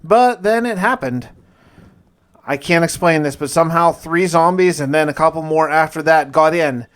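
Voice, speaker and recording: Guy doing gaming challenge narration, kinda high voice, super casual and folksy, chatty asides. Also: normal speed, clean tone. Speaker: gaming video host and player.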